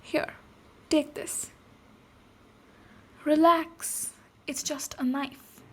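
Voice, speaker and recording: Girl doing an asmr ogre warrior lady, high voice, real slow and soft, tough but caring. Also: level tone. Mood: protective, reassuring. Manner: unhurried, soft-spoken, reassuring, with pauses. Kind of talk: ASMR roleplay, speaking to the listener.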